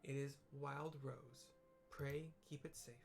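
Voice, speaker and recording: A man's voice: medium pitch, fairly even intonation, slow pace, a little mellow, soft background music. A man voicing a formal, courtly young lady leader from a video game in an attempted British accent, now and then stumbling over the words. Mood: earnest, formal. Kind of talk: amateur read-aloud of game dialogue. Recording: clearly audible background noise.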